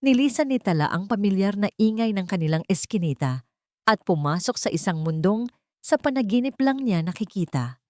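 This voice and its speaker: A woman's voice: mid-range voice, darkish tone, dry recording, studio quality. A woman reading a scripted romantic drama as a storyteller.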